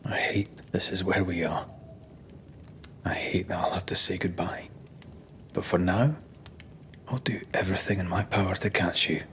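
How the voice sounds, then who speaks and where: An actor playing a devoted, gentle ghost hunter in a game cutscene, soft-spoken and earnest. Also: male voice, low voice, steady tone, moderate pace, long pauses, a little hiss or hum. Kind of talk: dramatic game cutscene acting.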